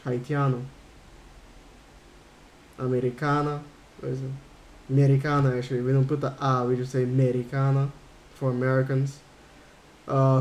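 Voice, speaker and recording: A man from Curaçao streaming a game, chatting casually and answering his chat in a rambling way. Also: medium pitch. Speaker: gaming streamer.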